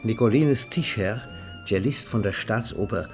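An actor as a wry storyteller, reading scripted literary narration in a radio play. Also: male voice, on the low side, unhurried, a little mellow. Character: wry storyteller of a boozy party. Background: music.

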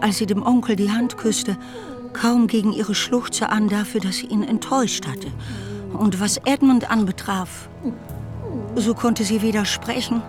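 Woman reading literary narration, measured, radio drama style, clear music underneath. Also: medium pitch.

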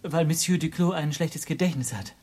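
An actor playing a guarded man under questioning in a radio play, husky, polite and evasive. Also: fairly high pitch, normal speed, darkish tone, slight background noise, close to an everyday voice. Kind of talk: radio play acting with narration.

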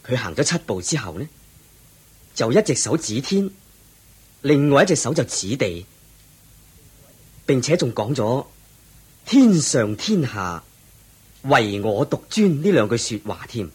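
A man's voice: medium pitch, very animated, a little slow, pauses often, husky. A man narrating a scripted story.

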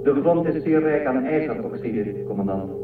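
A man's voice: mid-range voice, animated, normal speed, lightly acted. A man playing a formal, factual android aide in a science fiction radio drama, reporting with impersonal precision. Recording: distant echoey lo-fi band, music behind.

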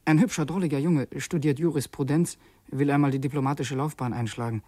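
A brooding secret revolutionary , played by a man in a radio drama. His voice is dark, husky and dry, and he muses haltingly, sinking toward a whisper as he weighs his thoughts. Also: medium pitch, fairly even intonation, no echo, close to an everyday voice. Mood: musing, narrating. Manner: halting, reflective, dropping into near-whispers. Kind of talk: German radio drama monologue and dialogue.

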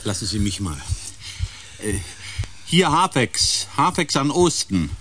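A man playing a rational expedition scientist in a radio play, speaking in a measured, formal and polite way. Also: mid-range voice, sing-song, noisy background. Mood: polite, probing.

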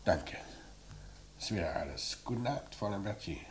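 An actor playing a dry, probing police commissioner in a German radio crime play, questioning in a terse deadpan way. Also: male voice, fairly low pitch, normal speed, natural voice. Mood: curt, dry. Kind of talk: mid-century German radio play acting. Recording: noisy vintage radio drama recording.

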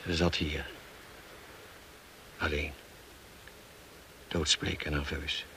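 A noisy old radio drama recording carries a tormented husband. His voice is very low and creaky. He speaks gravely and bitterly to his wife, with heavy dramatic pauses. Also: flat delivery, heavily acted. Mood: bitter, haunted. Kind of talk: Dutch radio play acting.

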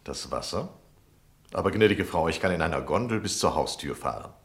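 An actor in a radio play playing a polite, eager, flattering gentleman journalist seeking to lodge. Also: deep voice, a little fast, echoey room. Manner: polite, smooth, deferential. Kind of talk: 1960 German radio play acting.

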